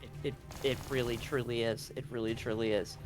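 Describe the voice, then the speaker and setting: A game master running a live tabletop roleplaying game, narrating and bantering excitably and repeating phrases for emphasis. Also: medium pitch, brisk. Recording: music behind the voice. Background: background music.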